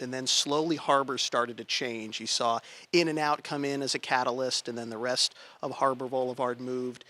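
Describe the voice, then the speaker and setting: Bright-voiced man giving a local talk. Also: medium pitch. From California. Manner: conversational, plainspoken, emphatic on key points. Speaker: planning commissioner speaking at a political club meeting. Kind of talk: public talk to a local group. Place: meeting room. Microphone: handheld microphone.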